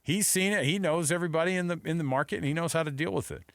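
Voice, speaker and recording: A man's voice: on the high side, very fast, creaky, no echo. An American man bantering as a sports radio co-host. His talk is casual, joking and a little rambling. He is close to the microphone in a dry studio. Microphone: close studio mic.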